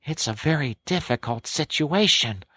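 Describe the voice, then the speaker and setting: Storybook: male reader as a thoughtful rat, breathy and raised, dry sound. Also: fairly high pitch, lively intonation, moderate pace, sharp tone, no echo, pitched above the performer's own voice, exaggerated voice. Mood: earnest. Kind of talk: audiobook character voice.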